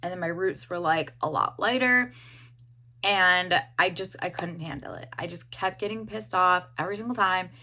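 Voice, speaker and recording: A young woman with a hoarse voice, casually reviewing a hair dye and rambling with lots of fillers. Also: on the low side, moderate pace, bright, forceful tone. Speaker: beauty vlogger.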